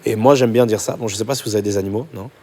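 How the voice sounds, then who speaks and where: Young pastor guy rattling off his testimony, low husky voice, gets quiet on the deep parts. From Portugal. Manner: fast, animated storytelling, drops low and soft at intimate moments. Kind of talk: personal testimony in a podcast chat.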